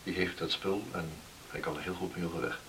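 An actor in a Dutch radio play playing a kindly, practical village doctor with a measured, reassuring manner. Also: male voice, sits low, fairly even intonation, a bit bright. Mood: calm, reassuring. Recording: noisy old radio play recording.

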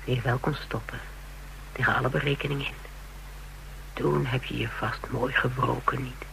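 A man's voice: medium pitch, steady tone, normal speed, vocal fry, pushed and bright, rough recording. A man acting in a Dutch radio drama as a level-headed, sardonic character in a tight spot, speaking in a clipped, probing way. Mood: quiet, accusing. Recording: hissy, humming radio drama recording.

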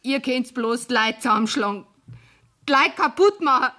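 A high-pitched, brash young Bavarian tavern woman. She is drunk and bitter, and taunts in coarse dialect in radio-play style. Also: lively intonation, normal speed, sharp tone. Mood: bitter, defiant. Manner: brash, mocking, coarse-mouthed. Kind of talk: 1970s German radio play acting.

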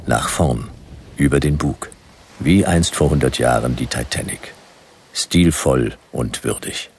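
An actor playing a laconic private detective who narrates his adventure in clipped, deadpan radio-play style. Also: very deep voice, creaky, slight background noise, full character voice.

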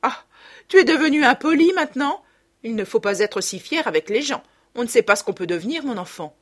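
A fussy provincial mother, middle-aged and loud, bossing everyone around in a high, lively voice, as a woman puts her on. Her voice jumps up and down, never stays still. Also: normal speed. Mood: chatty. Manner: loud, bossy and chatty.

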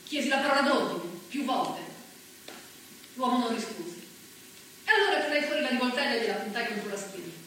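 A woman's voice: fairly high pitch, lively intonation, fairly quick, pauses often, fairly bright tone. An actress playing a fierce, proud working-class partisan woman, telling her story emphatically in a stage monologue. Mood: tense, determined. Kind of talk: theatrical monologue, dramatic first-person storytelling. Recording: very echoey, distant stage recording, faint noise.

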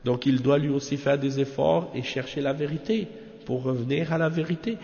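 A man's voice: mid-range voice, normal speed, creaky, darkish tone. A man teaches religion without a script. He explains didactically, restating phrases to drive each point home. The sound is muffled, with a long reverb around the voice. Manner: didactic, repeats phrases for emphasis, rhetorical check-ins. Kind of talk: extemporaneous religious lesson explaining a commentary.

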